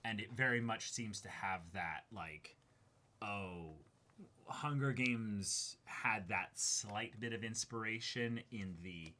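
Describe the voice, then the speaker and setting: A young man with a low-pitched voice and a bright, forceful edge. He sits in a small room as co-host of a video, adding casual comments in a conversational style. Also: takes it slowly, a little hiss or hum. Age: young adult. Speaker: YouTube co-host. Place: small indoor room, seated.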